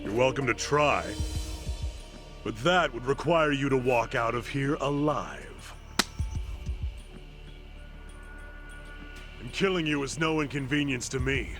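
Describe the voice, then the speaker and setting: A man's voice: medium pitch, normal speed, over music, close to an everyday voice. A man playing a smug, menacing yakuza villain who runs a facility in a game cutscene, condescending and threatening. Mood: self-righteous, menacing. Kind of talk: dramatic game cutscene voice acting.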